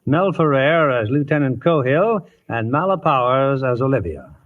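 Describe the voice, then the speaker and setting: A man's voice: medium pitch, big pitch swings, moderate pace. An American man presenting a 1950s radio show in a formal, courtly manner. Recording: muffled vintage radio, music behind.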